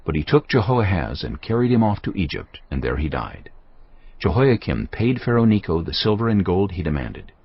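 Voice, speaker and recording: Male narrator for dramatised audio Bible: low, grave storytelling with dynamic intonation, slightly dulled sound. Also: sing-song, slightly rough. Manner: solemn, measured storytelling. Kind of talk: dramatised scripted scripture narration. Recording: slightly dull audio under background music. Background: background music.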